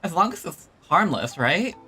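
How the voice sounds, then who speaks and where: A man's voice: fairly high pitch, big pitch swings, normal speed, sharp tone. A man streaming a game, giving casual live commentary as he plays. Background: game music.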